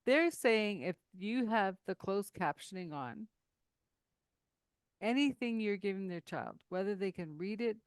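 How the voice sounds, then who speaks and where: Lively, laughing woman host. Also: medium pitch, a little slow, smooth, dry recording. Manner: lively storyteller, laughs often, speeds up when excited. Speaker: podcast host. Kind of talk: casual interview conversation.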